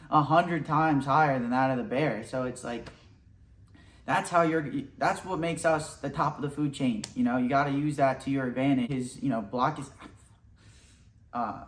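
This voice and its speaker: A young man hosting a mock survival show outdoors, talking in a deadpan, boastful, rambling way. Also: medium pitch. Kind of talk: deadpan comedic parody narration. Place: outdoors in nature.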